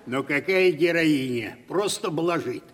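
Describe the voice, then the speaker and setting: A husky, grumbling gentleman. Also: on the high side, unhurried. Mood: grumbling, sardonic. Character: blunt, grumbling, cynical gentleman neighbour. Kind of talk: 1970s Russian stage comedy radio acting. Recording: distant, reverberant hall, old radio drama.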